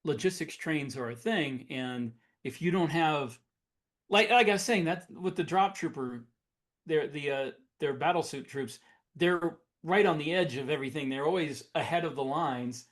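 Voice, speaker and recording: Higher-pitched man chatting on a podcast. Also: moderate pace, fairly bright tone. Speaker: podcast co-host. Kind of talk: casual conversation.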